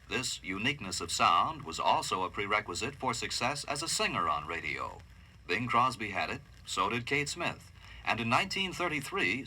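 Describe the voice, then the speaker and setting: Bright, slightly husky male narrator. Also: medium pitch, poor audio. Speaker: documentary narrator. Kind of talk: scripted narration about radio history.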